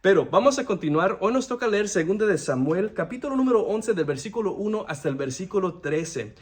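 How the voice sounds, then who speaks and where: A man's voice: high voice, animated. A young man giving an earnest devotional sermon, often stopping to restart his words. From United States. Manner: earnest, halting, frequent restarts. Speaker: devotional speaker.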